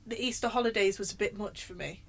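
A woman co-hosting a podcast with a loud voice, teasing her co-host and laughing often. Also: medium pitch, fast. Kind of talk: casual chat.

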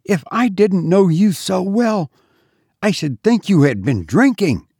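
A man voicing a peremptory, indignant mistress of the house in a storybook reading, with a sharp, impatient, demanding manner. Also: a little high, very animated, takes it slowly, no echo, clean studio sound, pitched above the performer's own voice, exaggerated voice. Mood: stern.

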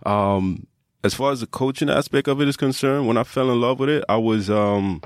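A man's voice: mid-range voice, normal speed, no room sound, studio quality. A man from Trinidad, a fitness coach and podcast guest, telling his own story in a casual, reflective, conversational way.

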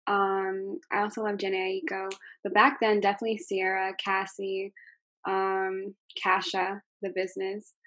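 A young woman who sings, answering interview questions in a soft voice, with many ums and likes. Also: medium pitch, monotone, unhurried, no room sound. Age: young adult. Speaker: singer being interviewed.

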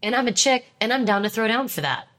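A woman's voice: fairly high pitch, lively intonation, quick pace, a bit bright. A young woman with a stuffed-up nose from a cold, co-hosting a podcast in blunt, emphatic casual chat. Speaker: podcast co-host.

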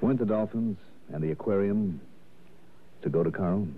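An actor playing a hard-boiled, unflappable investigator in a 1960s radio drama, narrating in a brisk, clipped way. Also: male voice, fairly low pitch, slight background noise, natural voice. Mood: matter-of-fact, resolute. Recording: narrow lo-fi 1960s radio, music behind.

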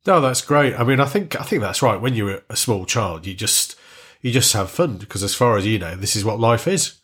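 A man's voice: on the low side, expressive, fairly quick, fairly bright tone, husky. A middle-aged man from Warrington, hosting and interviewing a guest in a chatty, friendly way. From Warrington, North West England. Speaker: podcast host.

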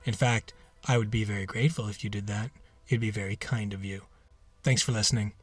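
A man's voice: lowish voice, steady tone, a little fast, no room sound. Dry-sounding male podcast host. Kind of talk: casual scripted podcast outro. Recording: music behind a dry voice.

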